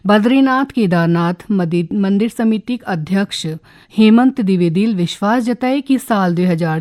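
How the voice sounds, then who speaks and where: A woman with a Kumaoni accent reading a scripted regional radio news bulletin in a formal, measured announcer's manner. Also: medium pitch, darkish tone. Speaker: radio newsreader.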